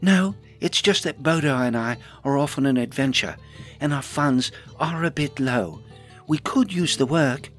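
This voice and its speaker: Man voicing a high-pitched breezy hobbit lass. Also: unhurried, a bit bright, faint music behind, lightly acted. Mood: brisk, eager. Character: spirited young female hobbit adventurer, breezy and persuasive.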